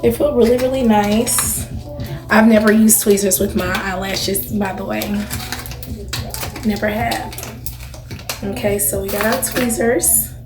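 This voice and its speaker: A woman's voice: medium pitch, fairly even intonation, measured pace, long pauses, reverberant, over music. A woman in her thirties hosting a beauty vlog, chatting casually and familiarly to her viewers with emphatic little check-ins. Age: 30s. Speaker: beauty vlogger and channel host.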